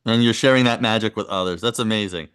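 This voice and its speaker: Smooth-voiced male podcast host. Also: on the low side, a bit bright. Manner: interviewer asking short, quick follow-up questions. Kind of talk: casual interview.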